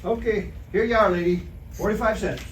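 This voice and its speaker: A man's voice: high voice, fairly quick, lightly acted. A man playing a bumbling, wisecracking husband in old-time radio comedy, folksy and stammering as he protests and schemes. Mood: jokey. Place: live stage performance by amateur troupe. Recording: echoey hall, distant mic, clear background noise.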